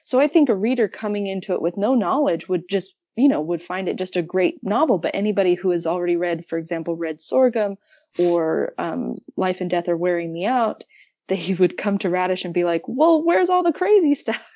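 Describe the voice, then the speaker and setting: A woman's voice: fairly low pitch, lively intonation, a little fast, mellow, muffled recording. A young woman speaking as a podcast guest, casually discussing literature and reflectively searching for her words.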